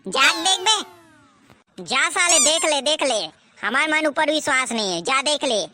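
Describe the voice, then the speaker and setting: Casting: man playing blunt Chhattisgarhi village pal, high-pitched, bossy and taunting, cartoon comedy, noisy track. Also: expressive, measured pace. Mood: scolding. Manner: scolding, slangy, taunting. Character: mocking, bossy young village buddy, blunt and cynical. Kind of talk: comedy cartoon dubbing in rustic slang.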